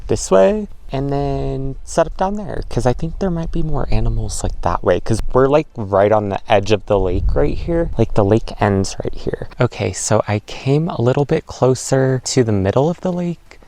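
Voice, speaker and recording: A man's voice: medium pitch, lively intonation, moderate pace, gravelly, hardly any pauses, mellow, a little hiss or hum. A man talking casually to the camera while filming wildlife outdoors by a lake, saying "like" a lot and sounding a bit nasal as he gets over a cold. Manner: chatty, says "like" often. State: slightly nasal from recent illness. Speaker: wildlife photography vlogger. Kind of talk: casual vlog narration.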